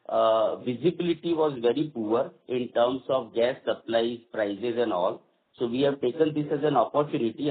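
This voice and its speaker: A man with an Indian accent answering as a company executive on an earnings call, halting and repeating phrases as he goes. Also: mid-range voice. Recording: dull lo-fi conference call audio.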